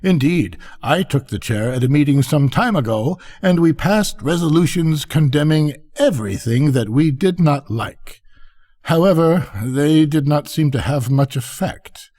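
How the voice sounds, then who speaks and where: A man's voice: fairly high pitch, expressive, measured pace, darkish tone, voice pushed up. A man voicing a placid, practical female duck in a storybook, with a mild, homely, chatty manner. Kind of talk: theatrical storybook character reading.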